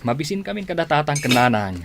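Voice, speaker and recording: An actor playing a casual, hungry older brother in a radio drama. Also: male voice, fairly high pitch, sing-song, fast talker, gravelly, a little hiss or hum. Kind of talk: Ilocano radio drama acting.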